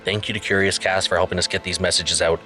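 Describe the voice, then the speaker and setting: Earnest conspiracy podcast host; forceful, lowish voice, urgent dramatized narration, music underneath. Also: male voice, flat delivery, fast talker. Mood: amazed, earnest.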